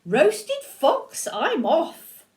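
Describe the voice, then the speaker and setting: A woman's voice: medium pitch, wildly swooping pitch, takes it slowly. A grandmother voicing a sly, hungry fox in a storybook reading. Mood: startled.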